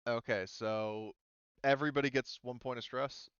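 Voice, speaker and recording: Male game master, lowish and clean-voiced, halting tabletop delivery, slightly dull dry recording. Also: fairly even intonation, a bit bright, clean tone. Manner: halting, thinking aloud. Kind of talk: running a tabletop roleplaying game. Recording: roomy, slightly muffled microphone.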